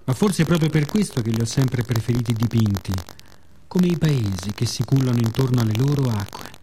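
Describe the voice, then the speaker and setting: A man's voice: medium pitch, mellow, slight background noise. A man with a Roman Italian accent performs a scripted radio monologue in a reflective, wry, conversational storytelling manner, slipping into colloquial asides. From Rome, Italy.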